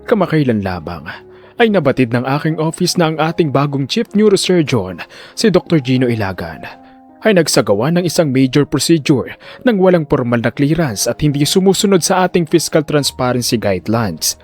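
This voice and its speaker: A man playing a smooth, smug hospital director. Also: a little high, sing-song, moderate pace, faint music behind. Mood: feigned regret. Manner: formal, soft-spoken, falsely courteous. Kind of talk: Filipino audio drama acting.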